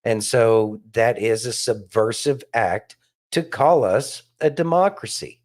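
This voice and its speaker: A man's voice: mid-range voice, slow pace, darkish tone. A man co-hosting a casual political discussion, speaking emphatically and repeating phrases for stress. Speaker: podcast co-host.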